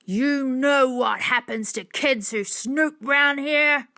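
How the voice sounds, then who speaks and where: A menacing, furious man who runs a factory, acted out by a woman narrator. He is gruff and curt, throwing out threats in a storybook scene with a dry, close sound. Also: medium pitch, expressive, slow pace, bright, forceful tone, no echo. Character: furious, menacing man, manager of a meat cannery.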